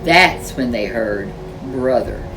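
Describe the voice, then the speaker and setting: A woman's voice: rumbling low, animated, slow pace, far from the mic. A woman reading a children's storybook expressively as a storyteller. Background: music.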